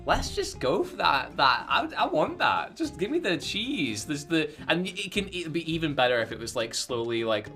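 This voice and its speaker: Bright-voiced man riffing on a podcast. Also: on the high side, moderate pace, few pauses, soft background music. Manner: animated, joking, rambling riffs. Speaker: podcast co-host. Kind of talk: casual podcast chat.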